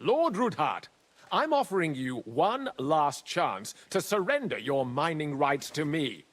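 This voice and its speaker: An actor playing a pompous, mock-polite and menacing royal official, a villain in a medieval video game. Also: male voice, fairly high pitch, big pitch swings, a little slow, a bit bright, exaggerated voice. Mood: threatening. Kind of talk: dramatic video game acting.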